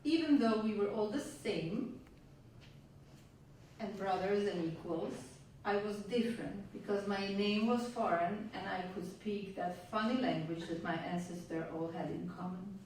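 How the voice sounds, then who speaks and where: A woman from Yugoslavia, a native Hungarian speaker, performing a spoken-word monologue on a venue stage in a measured, reflective way with wry asides. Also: medium pitch, a little mellow, a little hiss or hum. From Yugoslavia (Vojvodina). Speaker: stage storyteller. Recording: roomy, distant live hall sound.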